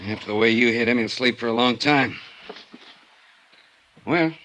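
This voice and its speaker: An actor in a 1950s radio drama playing a terse, matter-of-fact, hard-bitten police sergeant. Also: male voice, mid-range voice, moderate pace, sharp tone, lightly acted. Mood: grimly matter-of-fact. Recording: noisy vintage radio recording.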